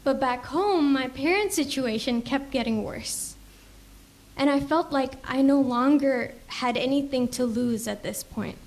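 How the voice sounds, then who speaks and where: A woman's voice: sits high, a little slow, darkish tone. A young woman from Indonesia, sincerely telling her personal faith story to a church congregation. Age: young adult. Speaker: church member giving testimony. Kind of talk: personal testimony speech. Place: church service.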